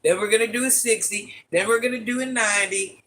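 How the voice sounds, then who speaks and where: An older woman with a very deep voice, hosting a casual livestream, reading viewer comments and playfully trash-talking. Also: fast, a bit bright, slight background noise, rough recording. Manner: playful trash talk, sassy asides. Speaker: livestream host.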